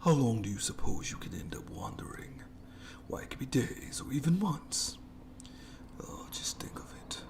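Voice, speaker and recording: A man's voice: mid-range voice, sing-song, moderate pace, vocal fry. A man voicing a sadistic, playful monster in a horror ASMR roleplay, whispering with taunting mock courtesy. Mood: sadistic, musing. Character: sadistic, playful, menacing monster lurking in its lair.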